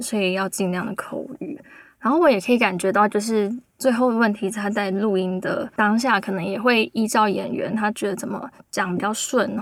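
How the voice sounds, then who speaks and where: A woman's voice: medium pitch, no echo. A woman, talking casually as a guest scriptwriter on a podcast.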